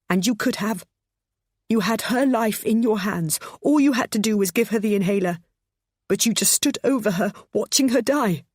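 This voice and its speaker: A woman's voice: mid-range voice, breathy edge, no echo, clean studio sound, voice pushed up, close to an everyday voice. A woman voicing a desperate older mother who sobs and pleads as her voice breaks. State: sobbing.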